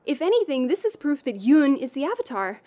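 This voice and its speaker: Casting: woman to play stubborn, self-doubting young heroine for a visual novel; high register, very animated, questioning delivery. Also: brisk. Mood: upset, pleading. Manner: earnest, expressive, questioning. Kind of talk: fan visual novel voice acting.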